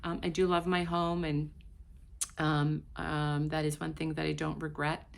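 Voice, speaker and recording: Low-voiced woman chatting to her viewers. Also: little pitch movement, unhurried, creaky. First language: Portuguese. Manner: chatty, addressing viewers directly. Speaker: vlogger. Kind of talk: casual talk to camera. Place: at home.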